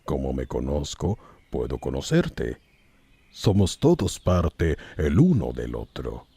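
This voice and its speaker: A man playing a wise, calm old wizard mentor in an audiobook, husky and measured in his delivery. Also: a bass voice, heavily acted. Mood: serene, wise. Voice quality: gravelly, husky. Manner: slow, measured, sententious. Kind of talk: audiobook character acting.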